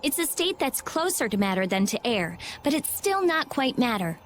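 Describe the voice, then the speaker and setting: An actress voicing a brainy, blunt teenage girl mage in a video game, with a brisk, matter-of-fact, snappy delivery. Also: fairly high pitch, big pitch swings, a little hiss or hum. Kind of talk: animated game voice acting.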